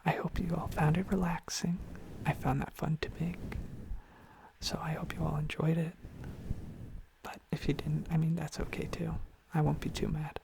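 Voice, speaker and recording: An ASMR host rambling softly and sleepily in a whisper, often trailing off into repeated phrases. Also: medium pitch, flat delivery, moderate pace, creaky, long pauses, noisy background.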